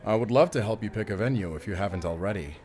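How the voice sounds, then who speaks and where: A man's voice: on the low side, lively intonation, fast, a little mellow, close to an everyday voice. An actor playing a middle-aged, wealthy, doting father in an audio drama, with a pompous, emphatic way of talking. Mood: warm fatherly. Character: wealthy, doting, commanding father of a grown son.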